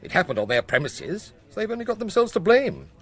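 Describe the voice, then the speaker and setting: An actor playing a brisk, sardonic police chief giving orders in a radio drama, with a dry, authoritative manner. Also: male voice, high voice, very animated, fairly bright tone, heavily acted. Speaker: senior police officer.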